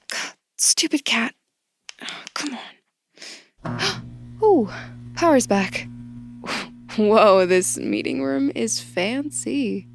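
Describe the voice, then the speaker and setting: An actress playing a young new employee in an audio drama, casual and expressive as she talks to herself, exasperated and curious. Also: fairly high pitch, vocal fry, dry recording, full character voice. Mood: amazed.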